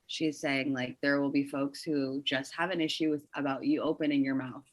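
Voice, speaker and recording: A woman playwright and performer as a podcast guest, talking quickly and chattily with lots of "like". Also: a bass voice, steady tone, no echo. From Toronto, Canada. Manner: quick, chatty, heavy use of "like". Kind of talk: casual podcast conversation.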